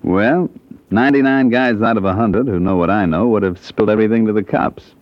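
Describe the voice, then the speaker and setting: Actor as a low-voiced, cocky small-time piano man. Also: fairly quick, dry recording, close to an everyday voice. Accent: American. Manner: slangy, glib. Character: cocky small-time jazz pianist turned blackmailer. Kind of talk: 1940s-50s American radio detective drama acting. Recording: dull old radio drama recording.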